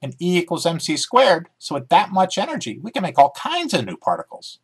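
Man putting on an excitable, high-pitched female dog. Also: a little fast, slightly rough, full character voice. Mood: insistent. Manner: excitable, argumentative. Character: eager, scheming pet dog, female, dabbling in physics.

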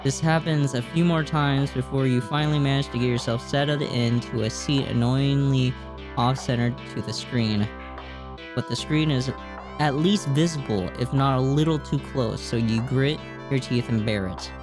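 A man's voice: medium pitch, normal speed. A man in his twenties reading a game's text aloud at a close desk mic, with casual reactions. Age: 20s. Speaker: gaming YouTuber. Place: small indoor room at home. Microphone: desk microphone close up. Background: game music.

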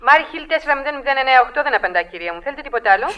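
High-voiced, detached telephone operator. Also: female voice, lively intonation, lo-fi sound. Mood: detached, polite. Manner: formal, matter-of-fact. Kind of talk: radio drama acting.

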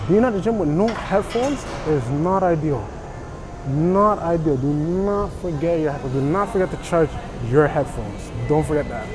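A man's voice: fairly high pitch, animated, normal speed, over music. A young man vlogging casually to camera in a large commercial gym, chatty and laid-back. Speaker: fitness vlogger. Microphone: camera mic from a few meters off. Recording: noisy gym background. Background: gym noise and clanking weights.

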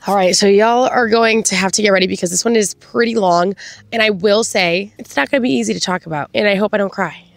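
A woman's voice: medium pitch, fairly quick, vocal fry, no echo. A young woman with a slightly stuffy, congested voice shares a devotional message from a park bench. She talks in a chatty way, laughs easily, and slips into earnest prayer. Her voice is picked up close by a small mic held near her mouth. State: congested from sinus infection. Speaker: faith vlogger and host. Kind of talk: casual devotional talk and prayer. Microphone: handheld clip-on mic held near mouth.

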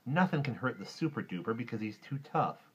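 A man voicing an excitable, awestruck, loyal young boy in a storybook reading. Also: medium pitch, a little fast, breathy edge, dull audio, pitched above the performer's own voice. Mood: awed. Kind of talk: children's storybook character voice.

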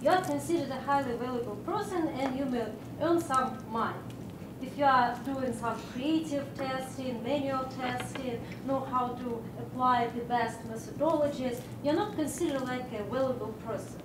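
A high-pitched woman presses a panel with insistent questions. She is heard from far off in an echoey conference room with clear background rumble. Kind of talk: pointed question and debate. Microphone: distant room microphone. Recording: distant, echoey room recording with rumbling noise.

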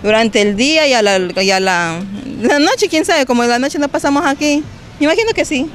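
A woman's voice: high voice, expressive, brisk. Exasperated woman juice vendor, faint street noise. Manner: exasperated, complaining. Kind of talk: street interview.

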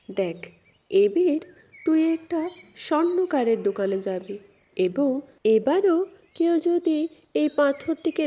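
Woman voicing a patient father, high and slow. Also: mellow. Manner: explaining step by step. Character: patient, instructive father of a young boy.

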